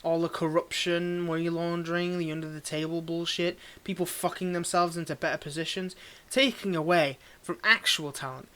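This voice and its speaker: Man playing a condescending, higher-voiced male villain. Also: lightly acted. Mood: indignant ranting. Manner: condescending, theatrical, swinging between ranting and hushed murmurs. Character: cold, domineering, tsundere villain, ranting and secretly fond. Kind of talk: scripted audio roleplay monologue, intimate address.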